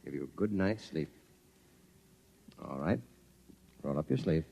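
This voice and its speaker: A man acting in a 1950s radio drama as a calm, wry military doctor with a soothing manner. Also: extremely low pitch, unhurried, vocal fry, husky, exaggerated voice. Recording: muffled 1950s radio broadcast recording.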